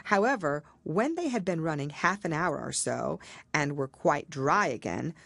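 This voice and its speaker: A woman's voice: deep voice, animated, moderate pace, vocal fry, a bit bright, no echo. A woman narrating a children's storybook from a script, as an audiobook reader.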